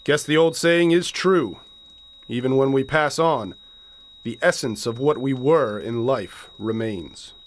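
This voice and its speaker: An actor playing a stern, hard-boiled sheriff, commanding and deliberate, in a radio thriller. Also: male voice, mid-range voice, a little hiss or hum, natural voice. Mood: grimly reflective. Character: stern, hard-boiled small-town sheriff making an arrest.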